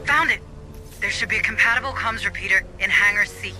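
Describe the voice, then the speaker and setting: A man's voice: high voice, brisk, bright, forceful tone, faint music behind, rough recording. A man voicing a keen, businesslike mission adviser in a video game, briefing marines in an urgent, explanatory way. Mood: focused, informative.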